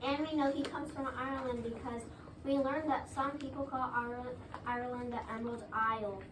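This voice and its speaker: High-voiced woman in readers' theater. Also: steady tone, moderate pace. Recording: echoey room, distant mic, background noise.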